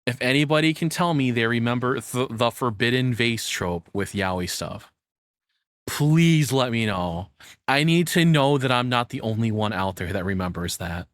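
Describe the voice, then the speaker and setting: A man's voice: medium pitch, lively intonation, moderate pace, a bit bright, no room sound. A man giving casual reaction commentary as a streamer, rambling informally and searching for words.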